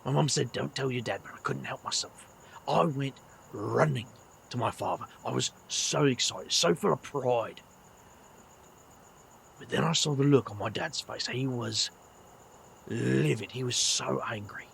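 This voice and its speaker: An actor playing a weary, kindly middle-aged British magician with a gravelly voice and a slangy, wry way of talking in an audio drama. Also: male voice, medium pitch, measured pace, fairly bright tone, slight background noise. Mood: wistful.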